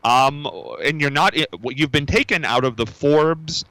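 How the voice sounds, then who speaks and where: A man's voice: on the high side, normal speed, pushed and bright, no echo, rough recording. A male radio host interviewing in a studio, hesitant and stammering, with many restarts.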